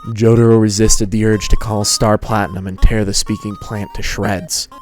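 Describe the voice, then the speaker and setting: Low-voiced man narrating a story. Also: flat delivery, normal speed, darkish tone. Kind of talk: scripted fan fiction narration. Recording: music behind the voice.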